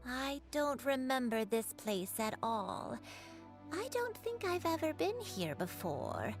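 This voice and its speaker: An actress playing a gentle, forgetful elderly grandmother in a video game, telling things in a slow, wistful way. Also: high-pitched, sing-song, over music, full character voice. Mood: musing. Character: frail elderly granny, gentle, forgetful and self-blaming. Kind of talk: scripted video game dialogue.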